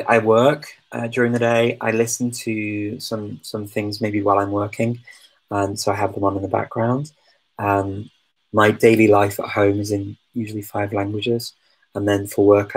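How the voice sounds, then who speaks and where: A man with a low, gravelly voice answers viewer questions in a relaxed live chat. He hesitates a lot, restarting his sentences and filling the gaps with soft ums. His tone stays husky and modest throughout. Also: low-quality recording. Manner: hesitant, many ums and restarts, self-deprecating. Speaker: language-learning streamer answering viewer questions.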